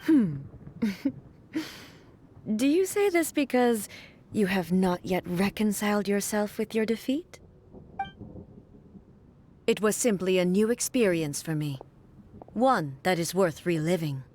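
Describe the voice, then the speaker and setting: A woman voicing a cold, composed female warrior ruler in a video game cutscene, speaking in a formal, measured, solemn way. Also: medium pitch, lively intonation, a little mellow, a little hiss or hum.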